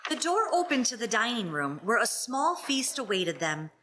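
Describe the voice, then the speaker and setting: A woman acting as an expressive storyteller, narrating a radio play dramatically. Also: fairly high pitch, normal speed, slight background noise. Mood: vivid, relishing.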